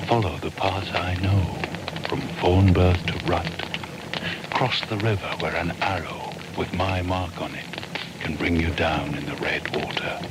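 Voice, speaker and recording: An actor playing a solemn shaman hunter, speaking measured ritual verse for a radio drama. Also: deep voice. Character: solemn male shaman hunter invoking animal spirits. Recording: clear background ambience noise.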